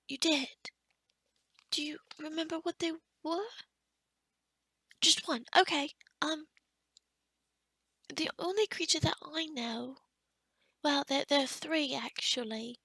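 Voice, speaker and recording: A woman's voice: high voice, a bit bright, dry recording, heavily acted. A woman playing a kind, flustered young-sounding forest witch in an ASMR roleplay, stammering and often dropping to a whisper. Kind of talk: ASMR roleplay addressed to the listener.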